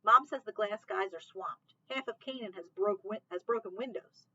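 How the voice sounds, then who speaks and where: A woman reading aloud, voicing a quiet, serious young boy who speaks plainly and matter-of-factly. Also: medium pitch, moderate pace, breathy edge, dull audio.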